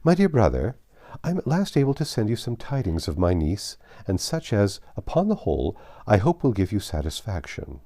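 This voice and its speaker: Man reading as a formal, kindly uncle, mellow tone. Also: lowish voice, huge pitch swings, moderate pace, exaggerated voice. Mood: formal, reassuring. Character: businesslike, kindly middle-aged uncle dictating a letter. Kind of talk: audiobook letter reading.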